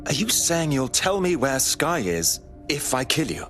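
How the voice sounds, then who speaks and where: An actor playing a direct, questioning undercover operative in a video game. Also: male voice, on the high side, very animated, measured pace, over music. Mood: probing, confused.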